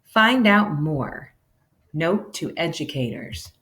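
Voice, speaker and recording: Slow, animated woman reading to children. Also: sits low. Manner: animated, acting out dialogue. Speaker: teacher reading a book aloud. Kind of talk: expressive children's read-aloud.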